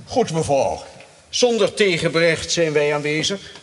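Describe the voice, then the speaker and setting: An actor playing a courteous, deferential house agent in a period radio drama, speaking politely and formally. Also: male voice, fairly high pitch, animated, unhurried, big-room sound. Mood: obliging. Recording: noisy old radio drama recording.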